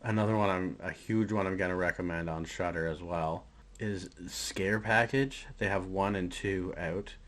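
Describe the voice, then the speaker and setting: A man's voice: low voice, steady tone, moderate pace, fairly bright tone. A man speaking casually and enthusiastically as a podcast co-host discussing films.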